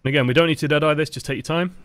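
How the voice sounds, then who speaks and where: A man's voice: on the high side, steady tone, rapid-fire, fairly bright tone, dry recording. A man with a dry voice, giving casual, explanatory coaching commentary over gameplay footage. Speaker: game coach.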